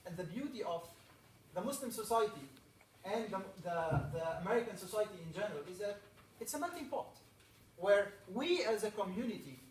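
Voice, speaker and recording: A man from Egypt opens a camp with an earnest, rallying talk, stacking up emphatic lists. His voice sits fairly high. He is heard from some distance in an echoey hall with faint background noise. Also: sing-song, a little fast. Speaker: camp speaker. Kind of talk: opening talk to a camp audience.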